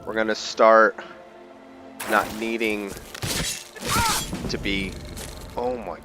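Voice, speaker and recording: A man's voice: on the low side, little pitch movement, slow pace, over music. A man giving casual, laid-back video game commentary, musing aloud to himself as he plays. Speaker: gamer narrating own video game playthrough. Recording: faint background noise.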